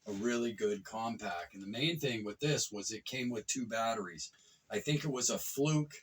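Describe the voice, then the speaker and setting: A man's voice: fairly low pitch, normal speed, slightly rough, recorded from a distance. A man giving a casual, enthusiastic product review, rambling and repeating himself for emphasis.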